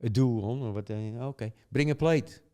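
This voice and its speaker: A man's voice: medium pitch, normal speed, dark tone. An older man from the Netherlands with a Dutch accent, telling stories in casual chat, chuckling often and rambling with tag questions. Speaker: guest.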